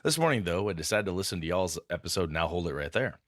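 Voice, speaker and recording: A man's voice: low voice, lively intonation, fast talker, fairly bright tone, no room sound, clean studio sound. A man co-hosting a casual gaming podcast, joking and reading listener mail aloud. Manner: jokey, reads letters aloud with quoted voices. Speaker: podcast co-host.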